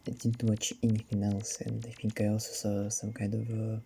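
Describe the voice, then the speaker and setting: A man's voice: fairly low pitch, monotone, normal speed, vocal fry, mellow, a little hiss or hum. A man giving casual, hesitant commentary while playing a game, his voice sardonic and a little down. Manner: sardonic, slightly down-sounding, hesitant with many fillers. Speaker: let's play gamer. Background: game music.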